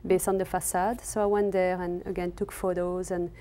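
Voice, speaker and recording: Casting: a lower-voiced woman with a French accent, an artist who speaks haltingly and gently in her studio. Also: level tone, a little hiss or hum. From raised in Senegal and Cameroon. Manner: hesitant, many fillers and restarts. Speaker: textile artist guest. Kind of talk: casual interview.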